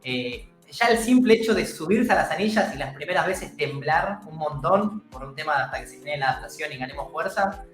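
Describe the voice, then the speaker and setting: A man's voice: fairly high pitch, animated, brisk, hardly any pauses, a bit bright, soft background music. Encouraging Argentine man, echoey call. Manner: enthusiastic, encouraging. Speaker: calisthenics instructor guest. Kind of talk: casual interview chat. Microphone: video call. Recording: roomy echoey call audio.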